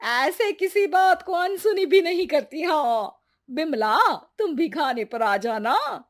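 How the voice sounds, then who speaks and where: A woman acts out a middle-aged Indian mother-in-law with a high-pitched voice and a slow, drawn-out delivery. She nags and wheedles theatrically, as in a domestic family cartoon. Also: animated. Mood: chatty complaining. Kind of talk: acted Hindi family story cartoon.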